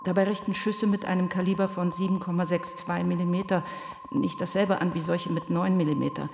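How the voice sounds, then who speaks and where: A woman's voice: on the low side, a little fast, gravelly. A woman playing a numb police investigator who gives testimony in a radio play, matter-of-fact and detached. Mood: clinical, detached. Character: numb, clinical police investigator reciting facts. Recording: reverberant hall with steady hiss.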